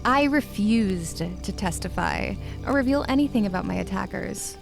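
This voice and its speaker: Woman acting tough bitter twentysomething girl, defensive, medium pitch, audio drama with music under it. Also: animated, moderate pace, gravelly. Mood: bitter, guarded. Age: 20s. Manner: guarded, bitter, profane. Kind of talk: dramatized audiobook, first-person recounting.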